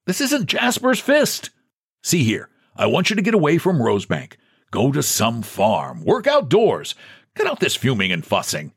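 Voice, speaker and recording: A smooth middle-aged bank president talks down with easy condescension, as a male narrator plays him. His voice sits higher than the narrator's and moves around a lot. The sound is dry and close. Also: wildly swooping pitch, normal speed, dry recording, exaggerated voice. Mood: patronizing. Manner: easy, patronizing.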